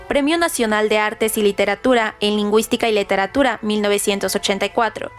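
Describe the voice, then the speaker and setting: A woman's voice: mid-range voice, fast talker. Woman in formal narration over music. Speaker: presenter of a literary radio segment. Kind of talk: scripted narration, trivia about a novel. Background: background music.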